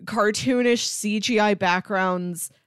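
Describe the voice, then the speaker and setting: A woman's voice: mid-range voice, slow, clean tone, no room sound, clean studio sound. A woman hosting a casual film discussion, talking in an enthusiastic, analytical, rambling way full of "like". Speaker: film podcast host.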